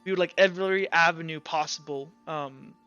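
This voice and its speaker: A man voicing a solemn, formal tribunal judge in a tabletop roleplay, speaking haltingly and deliberately. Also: fairly high pitch, fairly even intonation, pushed and bright, smooth, highs cut off, faint music behind. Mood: grave, measured. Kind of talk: tabletop roleplay character speech.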